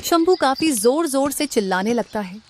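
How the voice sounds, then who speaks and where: Higher-pitched woman narrating a story. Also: animated, normal speed. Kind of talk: scripted Hindi cartoon narration.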